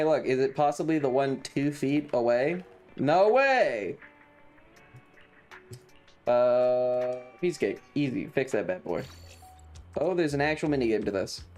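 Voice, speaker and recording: A man's voice: on the high side, darkish tone. A man live streaming a video game in a casual, chatty way, sarcastic and exasperated, often reading game text in a dismissive manner.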